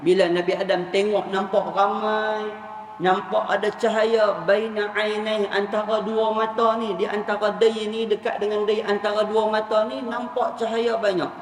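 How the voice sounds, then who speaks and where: Man, northern Malaysian Malay accent, high-pitched, sermon storytelling, repeats phrases for emphasis, reverberant hall with faint noise. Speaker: Islamic preacher. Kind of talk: sermon retelling religious stories to an audience. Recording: echoey hall, distant mic, faint noise.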